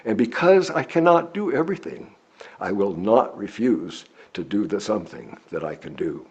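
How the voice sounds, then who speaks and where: A man reads a written sermon aloud in a measured, deliberate, oratorical way, with a husky voice. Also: on the low side, big pitch swings, darkish tone. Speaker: lay preacher reading a written sermon. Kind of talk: sermon read from a script. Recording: dull, lo-fi recording.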